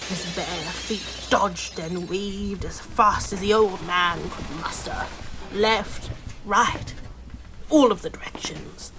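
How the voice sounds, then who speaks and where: A woman plays a storybook narrator in a radio drama. She speaks slowly at a middling pitch, measured and descriptive, over clearly noisy sound effects. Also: steady tone, close to an everyday voice. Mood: dramatic.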